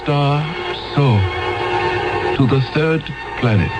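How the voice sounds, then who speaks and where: An actor playing a stern, commanding alien overseer in a 1970s radio drama, intoning slowly and solemnly. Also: male voice, a little high, loud music behind the voice.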